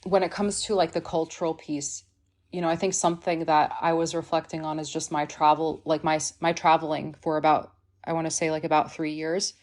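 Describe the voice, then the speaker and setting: A woman with a very deep voice that stays level and flat. She speaks as a podcast guest in an earnest, reflective way, piling in "like" and "you know" as she works through her ideas. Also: a little fast. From Missouri, United States. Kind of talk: podcast discussion.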